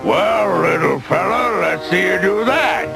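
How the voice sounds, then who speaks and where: An actor playing a mocking, boastful male giant with a gravelly, drawling voice. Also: sits high, animated, unhurried, heavily acted. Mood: taunting. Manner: taunting. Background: background music.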